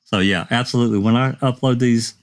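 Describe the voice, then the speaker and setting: A man hosting a casual discussion of recorded calls, speaking in a halting, conversational way. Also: mid-range voice, fairly even intonation. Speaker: host.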